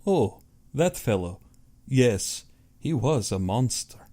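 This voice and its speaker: A man's voice: mid-range voice, sing-song, warm tone. A man voicing a suave, courtly aristocratic Russian general, an affable but sinister hunter, in an audiobook. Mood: proud, menacing. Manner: courtly, measured, self-satisfied.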